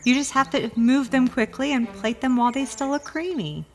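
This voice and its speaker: A woman playing a gentle, modest adventurer in a fantasy audio drama, with a mild, unhurried manner. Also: high voice. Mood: modest, easygoing. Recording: faint music, birds and insects behind.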